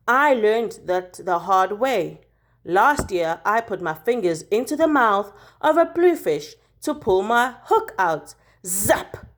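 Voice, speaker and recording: A woman reads a children's story aloud at a slow, careful pace. Her voice sits fairly high and moves up and down in a lively way, leaning on key words for drama. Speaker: teacher reading a picture book aloud.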